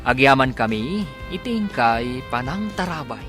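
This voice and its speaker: A man narrating a radio drama as its announcer, with dramatic, drawn-out emphasis. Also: medium pitch, sing-song. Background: clear music.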